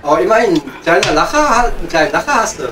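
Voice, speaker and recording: A man's voice: high-pitched, sing-song, quick pace, crowd noise, background noise. A man playing a grumbling, womanising coal miner with a Ruhr German accent, rambling wryly in an improvised comedy audio play. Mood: wry banter. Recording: slightly distant mic, music behind.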